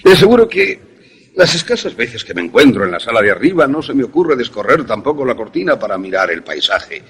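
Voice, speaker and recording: A man playing a courteous, eccentric scientist in a suspense radio play, formal and deliberate as he explains his invention. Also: medium pitch, big pitch swings, a bit bright, slightly rough, exaggerated voice. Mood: courteous, proud.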